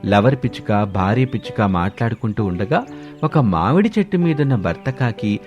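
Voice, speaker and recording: A man's voice: lowish voice, animated, moderate pace, darkish tone, lo-fi sound. A man narrating a scripted children's moral story as the storyteller. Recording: music bed behind voice.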